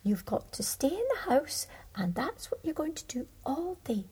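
A woman's voice: high-pitched, sing-song, moderate pace, darkish tone. A woman voicing a bossy old female field mouse who gives orders. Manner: bossy, instructing.

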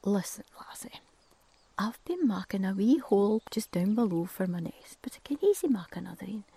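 Woman voicing a Scottish woodpecker, helpful, brisk, whispered, storybook. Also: mid-range voice, big pitch swings, creaky, warm tone, breathy edge, dry recording, full character voice. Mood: friendly.

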